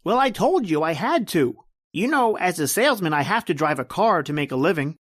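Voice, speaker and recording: A man's voice: on the high side, sing-song, a little fast, fairly bright tone, dry recording, clean studio sound. A man playing a breezy, evasive young salesman in an old-time radio drama, wheedling in slangy talk. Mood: evasive, defensive. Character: slippery, wheedling young male salesman pal.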